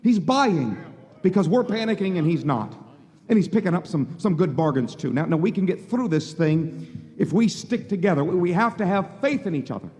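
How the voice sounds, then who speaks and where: A man acting a flustered, earnest young small-town everyman in a live radio drama. His voice sits fairly high and he stammers, doubling back on his words as he pleads. It rings through a reverberant hall. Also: expressive, moderate pace, a little mellow. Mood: earnest pleading. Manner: stammering, repeating words, folksy.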